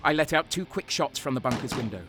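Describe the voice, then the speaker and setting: Cold, sadistic soldier-narrator for a dark war audio drama male actor, mid-range, deliberate and dramatic, music bed. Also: mid-range voice, expressive. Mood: grim, detached. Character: cold-hearted, sadistic young male soldier turned traitor. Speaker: narrator and lead character.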